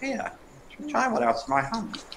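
A woman voicing a gentle, helpful male elf in a puppet show, musing hesitantly with oohs and hums. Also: fairly high pitch, sing-song, unhurried. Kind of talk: hobby puppet show acting. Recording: echoey room, clear background noise.

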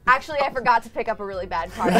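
Very high, lively woman teasing. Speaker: game show panelist. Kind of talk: comic improvised pitching.

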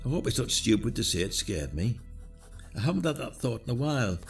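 A man's voice: mid-range voice, sing-song, a little fast, creaky, faint music behind. A British man acting a weary, self-doubting retired detective in an audio drama. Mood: troubled, confiding. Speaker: actor in a podcast drama.

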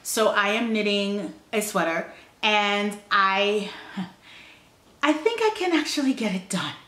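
A woman's voice: medium pitch, expressive, measured pace, bright, forceful tone, roomy sound. A young woman hosting a knitting video podcast, chatting to the camera in an upbeat way, with many fillers and restarts, recorded at home. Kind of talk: casual chat to camera. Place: small indoor room at home.

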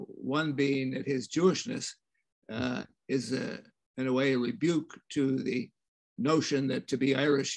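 An older man, an author, talking in a measured, halting way with many pauses on a video call from a home room with bookshelves. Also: mid-range voice, vocal fry. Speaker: author interviewing the director. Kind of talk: thoughtful discussion. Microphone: video call webcam.

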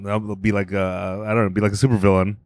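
Deadpan joking man on a podcast. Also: quick pace, dry recording. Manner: crude deadpan joking, swings up high for mock voices. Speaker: podcast co-host. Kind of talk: casual comedic chat.

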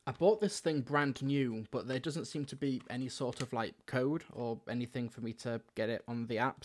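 A man's voice: mid-range voice, darkish tone. A young British man giving conversational review commentary into a close desk microphone at home. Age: young adult. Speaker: video reviewer. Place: small furnished room at home.

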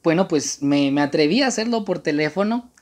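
A man, speaking haltingly and coyly on a casual prank phone call. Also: fairly high pitch, lively intonation. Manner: hesitant, halting, coy. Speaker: prank caller and channel host. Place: small room indoors.

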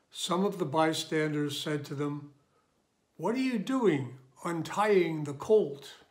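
A man in his sixties reading scripture in a measured, deliberate way, recorded in a room at home. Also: on the high side. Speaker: lay scripture reader.

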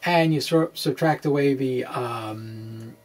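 Man in his forties, mid-range voice, quirky animated delivery, hesitant asides, informal science presenter reading and explaining. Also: mid-range voice, slow. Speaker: physics blogger and presenter. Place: small indoor room with bookshelves.

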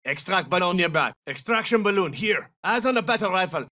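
A tough video game soldier with a high, hugely varied pitch. He delivers terse tactical callouts in a clipped, commanding way. The sound sits a little distant from the mic. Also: animated, fairly quick, pushed and bright. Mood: terse, focused. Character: tough, terse male special forces soldier. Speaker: video game operator voice actor. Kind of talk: military shooter game callouts, short barked lines.

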